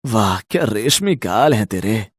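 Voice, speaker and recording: A man's voice: lowish voice, lively intonation, unhurried, husky, no echo, studio quality. A man voicing a lecherous, bullying rich village moneylender in a cartoon story, with a leering, domineering manner. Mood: leering, sly. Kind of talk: Hindi cartoon story acting.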